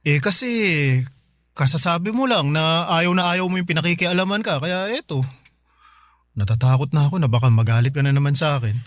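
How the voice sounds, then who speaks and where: An actor playing an apologetic, questioning young boyfriend in a radio drama, with a Filipino accent. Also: male voice, on the high side, fairly quick, fairly bright tone, dry recording, close to an everyday voice. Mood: hesitant. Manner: questioning, placating. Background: faint music.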